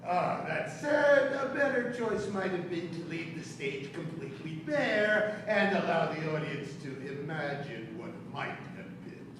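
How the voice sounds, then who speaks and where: Stage comedy: male actor as haughty, scornful deity, animated delivery, distant noisy recording in a reverberant theater. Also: sits high, unhurried, few pauses, heavily acted. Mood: scornful, mocking. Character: sardonic, pompous male god of mockery and criticism. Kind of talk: community theater stage acting. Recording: echoey stage from far off, noisy.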